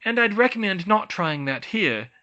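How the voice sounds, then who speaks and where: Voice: mid-range voice, sing-song, moderate pace, bright, forceful tone, lo-fi sound, pitched above the performer's own voice. A reader voicing Hermione, an earnest, caring young witch. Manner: earnest, concerned.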